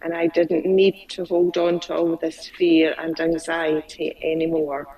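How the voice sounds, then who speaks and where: A woman client who stutters, slowly repeating phrases after her coach over a video call. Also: low-pitched, level tone, dark tone, distant mic, rough recording.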